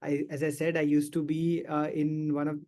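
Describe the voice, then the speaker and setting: High-voiced man, earnest and reflective. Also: completely flat delivery, moderate pace, dark tone. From India. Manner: earnest and reflective, with frequent restarts and repeated phrases. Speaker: panel guest. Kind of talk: candid personal storytelling.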